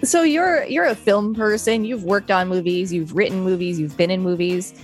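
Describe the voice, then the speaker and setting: A woman's voice: mid-range voice, moderate pace, faint music behind. Dry-voiced woman podcast co-host. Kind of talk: reading aloud and casual chat.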